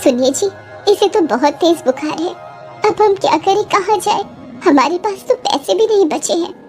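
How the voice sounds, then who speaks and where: A woman's voice: very high voice, expressive, moderate pace, darkish tone, faint music behind. A woman playing an anxious, pleading poor village wife and mother in a moral story, plaintive and respectful.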